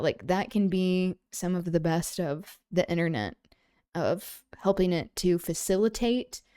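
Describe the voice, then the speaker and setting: Casting: female livestream host, mellow and slightly low, dry close sound, reflective and conversational, wandering through her thoughts. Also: smooth, no echo. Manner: discursive, halting, thinking aloud. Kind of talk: casual livestream chat, reflective commentary.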